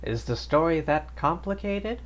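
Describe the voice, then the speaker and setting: A woman's voice: deep voice, expressive, unhurried, a little hiss or hum. A woman live streamer giving casual, wry, sardonic commentary while playing a game. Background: game music.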